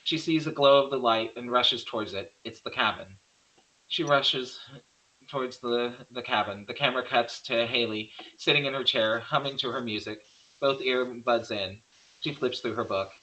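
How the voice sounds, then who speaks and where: A man's voice: mid-range voice, moderate pace, pushed and bright, rough recording. A man narrating stage directions in an amateur screenplay table read, sometimes stumbling and correcting himself.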